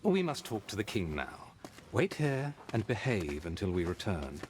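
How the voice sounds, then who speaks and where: A woman's voice: a bass voice, very animated, moderate pace, a little mellow, slight background noise. A woman voicing a proud elf woman, haughty and teasing.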